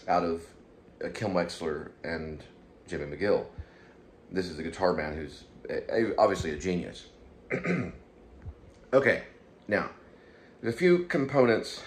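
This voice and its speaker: Male, deep register, casual solo commentator who meanders and doubles back, faint noise behind him. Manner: rambling, hesitant, circles back and repeats himself. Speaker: YouTube show host and amateur writer. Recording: steady background room noise.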